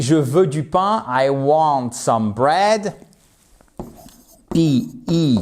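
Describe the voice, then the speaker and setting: A French man teaching English in a video lesson. He spells out English words slowly and stresses them, and he often checks in with "ok?". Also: fairly high pitch. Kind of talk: video lesson, explaining to viewers.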